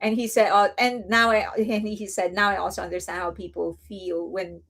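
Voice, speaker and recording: A woman's voice: medium pitch, little pitch movement, normal speed. Chatty woman with an accent. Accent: non-native English accent. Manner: chatty, rambling, laughs often; speaks softly and coos to a baby at times. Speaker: host of a fan news channel. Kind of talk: casual livestream chat.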